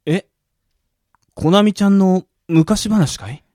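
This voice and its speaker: An actor voicing a mild, genial gym manager who explains things gently in an audio drama. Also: medium pitch, slow, no room sound, clean studio sound, close to an everyday voice. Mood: genial, explaining. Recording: music under the voice.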